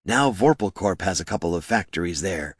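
A man's voice: deep voice, expressive, fairly quick, husky, dry recording, studio quality. A man voicing a pompous, smug businessman in a fantasy audiobook, drawling with leisurely condescension. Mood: disdainful.

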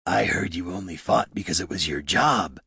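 A man voicing a grave, stern and sorrowful troll with a gravelly, husky voice. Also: sits low, normal speed, pushed and bright, no room sound, studio quality, full character voice. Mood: questioning.

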